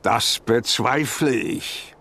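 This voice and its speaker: A man playing a stern, aloof oracle of the mists in a video game, solemn, gruff and disdainful. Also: mid-range voice, extremely slow, pushed and bright, full character voice. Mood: gruff, dismissive. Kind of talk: scripted video game dialogue.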